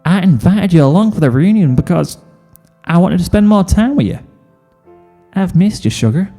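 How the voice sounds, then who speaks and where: A man voicing a warm, shy young country cowgirl with folksy Southern phrasing in a fan fiction reading. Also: sits high, expressive, normal speed, long reverb tail, soft background music, pitched above the performer's own voice. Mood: happy, affectionate.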